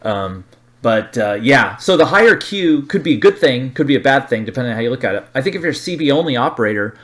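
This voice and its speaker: A middle-aged man with an American accent explains slides informally as a hobbyist, halting and repeating phrases for emphasis. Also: medium pitch, expressive, fairly bright tone. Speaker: radio hobbyist presenting an antenna review.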